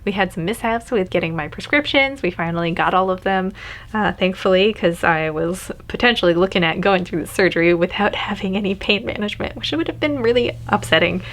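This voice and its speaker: A woman's voice: mid-range voice, a little fast, fairly bright tone. A young adult woman talking candidly to camera in a casual personal vlog, laughing now and then. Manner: candid, chatty, laughs often. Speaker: vlogger.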